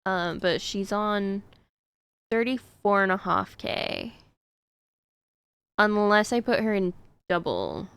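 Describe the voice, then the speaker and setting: A woman's voice: medium pitch, very animated, takes it slowly, gravelly, long pauses, fairly bright tone, clear, resonant tone, dry recording. A woman streaming a game, chatting casually to her viewers in a rambling way with frequent fillers. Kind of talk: casual chat while gaming.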